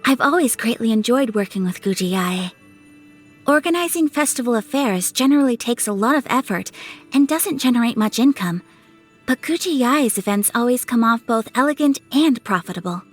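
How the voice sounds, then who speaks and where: A young woman playing a refined, dutiful, gentle noblewoman in a video game, speaking with formal, courteous, measured phrasing. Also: a little high, expressive. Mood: gentle, composed. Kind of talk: scripted video game character dialogue. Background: music.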